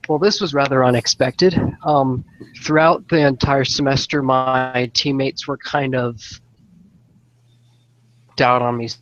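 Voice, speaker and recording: A man's voice: medium pitch, fairly even intonation, unhurried, noisy background. Hesitant man on dull lo-fi audio. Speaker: student joining a group. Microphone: video call headset.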